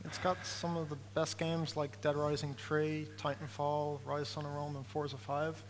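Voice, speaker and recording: A young man from Ireland, delivering rehearsed product points in a flat, scripted way. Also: a little high, moderate pace, big-room sound, slight background noise, rough recording. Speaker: software developer presenting.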